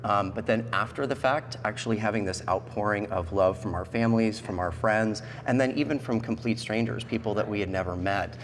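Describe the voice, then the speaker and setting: A man's voice: lowish voice, a little fast, hardly any pauses. A man with an American accent telling personal stories as an interview guest. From central Pennsylvania, United States. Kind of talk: personal storytelling in a talk show interview. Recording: echoey hall, background noise.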